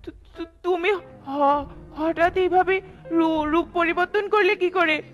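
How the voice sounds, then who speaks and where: A woman's voice: very high voice, fairly even intonation, takes it slowly, muffled recording, faint music behind. A woman voicing a small, brave female bird in a cartoon, speaking insistently and emotionally. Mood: frightened, pleading. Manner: insistent, emotional, repeating pleas and demands. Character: small brave female tailorbird, indignant, pleading for her friend. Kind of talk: dramatic cartoon voice acting.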